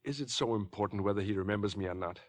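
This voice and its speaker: A man's voice: fairly low pitch, fast, natural voice. An actor playing a tense, earnest young man under suspicion in a 1950s radio drama, clipped and uneasy. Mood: tense, insistent.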